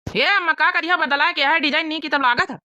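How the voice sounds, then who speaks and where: A woman's voice: sits high, fast, sharp tone, no echo, lo-fi sound. A woman voicing a busy, practical young villager with an Awadhi accent in a comedy cartoon. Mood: brisk, practical. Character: busy young villager preparing for a family wedding. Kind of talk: rural comedy cartoon dialogue.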